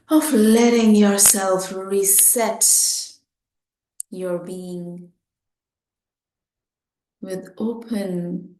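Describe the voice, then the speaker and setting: Very slow, mellow woman guiding meditation. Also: mid-range voice. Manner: gentle, unhurried, pausing between phrases. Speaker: meditation teacher. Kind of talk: guided meditation, slow and spoken in short phrases. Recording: roomy, echoing sound.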